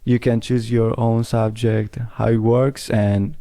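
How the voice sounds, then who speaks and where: A man's voice: medium pitch, level tone, takes it slowly, dark tone. A young man from Italy interviewing a friend, hesitantly searching for words as he asks questions. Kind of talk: casual conversational interview.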